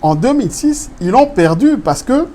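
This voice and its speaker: A man's voice: very high voice, very animated, moderate pace, mellow. Casting: male political guest, lecturing tone, code-switching, faint noise behind. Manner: switches between French and Lingala, explains step by step, asks rhetorical questions. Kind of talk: explaining law in an interview.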